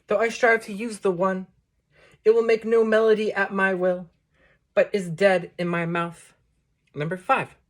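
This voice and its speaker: Woman reciting poetry in measured phrases. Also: mid-range voice, little pitch movement. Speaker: poetry reader introducing a book. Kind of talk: recited poetry reading with short spoken introduction.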